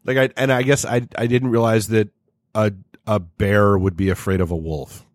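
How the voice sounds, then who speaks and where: An American man with a fairly low voice chats as co-host of a tech show. His delivery is informal and amused, with casual swearing. The sound is dry and close, with no room around it. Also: steady tone, moderate pace, dry recording. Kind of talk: casual chat.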